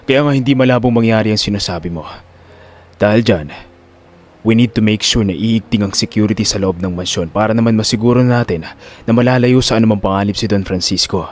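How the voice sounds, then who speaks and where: A man playing a calm, serious male confidant in a Filipino audio drama, speaking in a measured, reassuring way. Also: on the low side, voice pushed down, natural voice. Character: Rocky, calm serious confidant guarding an old don.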